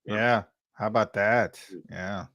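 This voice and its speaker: A man's voice: on the low side, steady tone, takes it slowly, highs cut off, crowd noise. A man co-hosting a sports talk show, chiming in with short, jokey, self-mocking remarks.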